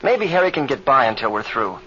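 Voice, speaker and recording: Earnest, bright-voiced young radio-drama pilot. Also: male voice, mid-range voice, very animated, brisk. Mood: earnest concern. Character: earnest young male pilot, eager and deferential sidekick. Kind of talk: old-time radio drama acting. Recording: muffled, hissy old radio recording.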